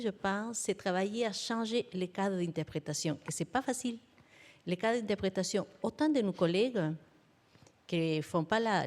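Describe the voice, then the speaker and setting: A woman's voice: mid-range voice, darkish tone. A woman speaks as an academic on a panel, with a mid-range voice. She talks earnestly and semi-improvised, circling back to key terms to stress them.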